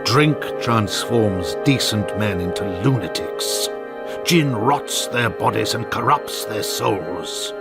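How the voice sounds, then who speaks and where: A grave, gravelly preacher. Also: male voice, on the low side, lively intonation, heavily acted. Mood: solemn. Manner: slow, grave, sermonizing. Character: stern preaching brother, scornful of drink. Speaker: actor. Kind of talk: radio drama acting. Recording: music playing loudly behind.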